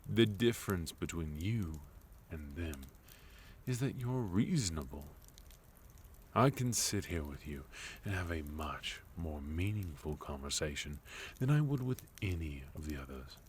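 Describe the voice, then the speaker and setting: A man playing a sophisticated, composed villain in an intimate ASMR roleplay, speaking in measured, courteous phrases with soft menace and deliberate pauses. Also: sits low, animated, a little hiss or hum. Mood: composed, softly menacing. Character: sophisticated, composed male villain, leader of enemy organization.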